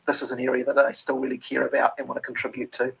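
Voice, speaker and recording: A man's voice: medium pitch, monotone, very fast, vocal fry, a bit bright, breathy edge, low-quality recording. A man with a New Zealand accent, an interview guest talking earnestly with many ums and restarts. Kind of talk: reflective interview talk.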